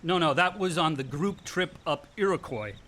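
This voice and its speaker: A man's voice: fairly high pitch, moderate pace, bright, forceful tone, slight background noise. A male actor playing a moody, self-deprecating young grad student son with wry delivery in a radio play. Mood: wry, nostalgic. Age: young adult. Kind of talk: naturalistic radio drama dialogue.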